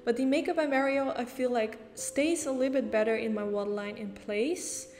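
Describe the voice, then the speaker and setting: A woman's voice: a little high, steady tone, fairly quick, darkish tone, in a large hall, a little hiss or hum. A woman from the Netherlands with a Dutch accent, talking casually through a makeup tutorial step by step. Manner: chatty, explains step by step with casual fillers. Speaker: beauty YouTuber.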